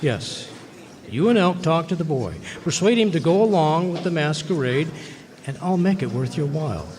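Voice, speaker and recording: Man acting shady lawyer, smooth-talking, self-protective, slightly high pitch, old-time radio western, hall echo. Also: lively intonation, moderate pace, a little mellow, natural voice. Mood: wheedling, wary. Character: Hector, crooked scheming male frontier lawyer, self-protective. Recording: echoey hall, live stage performance.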